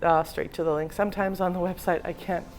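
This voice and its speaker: A woman with a husky voice from a slightly sore throat, giving a relaxed walking tour of a cruise ship with frequent ums. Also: lowish voice, brisk, a little hiss or hum. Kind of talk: casual walking tour narration. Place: indoors, moving through a cruise ship.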